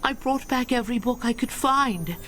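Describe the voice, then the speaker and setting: A woman voicing a composed, resolute female elf heroine in a video game, measured and brief. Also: on the high side, fairly bright tone, soft background music, natural voice. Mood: determined. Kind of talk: video game cutscene voice acting.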